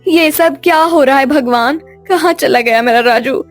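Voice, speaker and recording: A woman's voice: high voice, normal speed, faint music behind, voice pushed up, lightly acted. A woman voicing an anxious, loving mother in a cartoon story, fussing and emotional. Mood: distraught.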